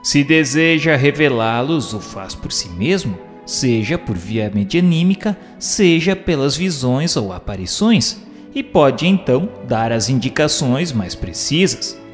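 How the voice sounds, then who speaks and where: Man, formal scripted narration, animated intonation, long reverb, faint music. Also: mid-range voice, unhurried. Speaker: narrator and questioner. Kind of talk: scripted dramatized reading.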